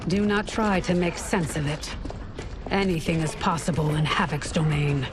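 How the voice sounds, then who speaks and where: An actress playing a composed, commanding resistance fighter with measured, formal speech in a video game cutscene. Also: female voice, low voice, background noise, natural voice. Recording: loud music over the voice.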